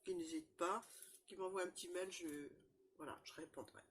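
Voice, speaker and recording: A woman with a cold, chatting casually through a crafting demonstration, rambling and filling pauses often. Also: fairly low pitch, fairly even intonation, a bit bright, slight background noise. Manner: chatty, rambling, constant fillers and "voilà". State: has a cold, stuffy. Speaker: crafting channel host. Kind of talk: casual show-and-tell crafting demo.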